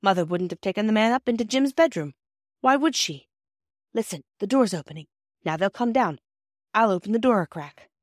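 A woman reading the part of an eager, brisk young boy in an audiobook. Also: mid-range voice, no echo, clean studio sound, voice pushed up, close to an everyday voice. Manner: brisk, excitable, indignant.

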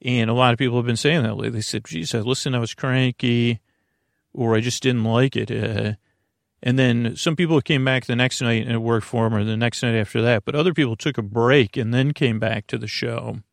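Creaky gravelly guy doing a rambly bedtime podcast, mumbles and trips over words, very dry close sound. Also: mid-range voice, steady tone, fairly quick, no room sound. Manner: meandering, mumbling, stumbling, self-deprecating, with tangents and mixed-up words. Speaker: podcast host. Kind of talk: rambling, conversational bedtime sleep podcast monologue.

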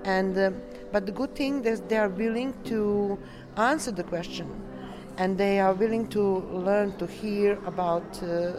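A woman from Serbia with a Serbian accent, answering interview questions in halting, non-native English as a delegate. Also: medium pitch, fairly even intonation, music in the background. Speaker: coeliac association delegate being interviewed.

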